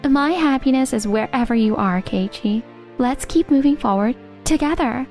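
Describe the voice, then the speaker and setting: A woman playing a sweet, loyal young fiancée in a manga dub, earnest and gentle but firm. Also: a little high, moderate pace, soft background music, lightly acted. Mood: tender, devoted. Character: sweet, loyal young fiancée who firmly defends her partner. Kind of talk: animated manga dub dialogue.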